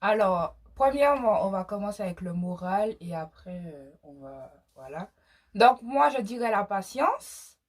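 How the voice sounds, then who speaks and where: A young woman from Martinique speaks at a middle pitch. She co-hosts an informal question-and-answer vlog, talking to viewers as the founder of a small brand. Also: expressive, unhurried. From French Caribbean (Martinique). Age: young adult. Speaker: small cosmetics brand founder and video co-host.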